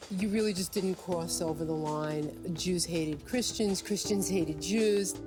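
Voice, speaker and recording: A woman's voice: lowish voice, a little slow. An older woman from New York speaking frankly and thoughtfully in a reflective documentary interview. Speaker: interviewee recalling youth. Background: music.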